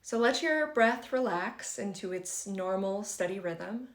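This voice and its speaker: A woman's voice: mid-range voice, lively intonation, unhurried. Echoey woman guiding a meditation. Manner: gentle, conversational, reassuring phrasing. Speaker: meditation guide. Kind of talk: guided meditation instruction for beginners. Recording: roomy, echoing sound.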